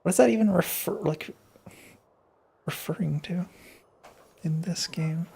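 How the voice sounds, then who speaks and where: A man with a gravelly voice, co-commentating on a video game in casual, sometimes sweary chat. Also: a little high, unhurried, darkish tone, a little hiss or hum.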